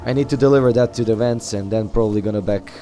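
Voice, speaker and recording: Low-voiced, halting male gamer. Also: dark tone, slight background noise. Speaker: gamer role-playing a game character. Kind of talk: improvised in-character game dialogue. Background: game music.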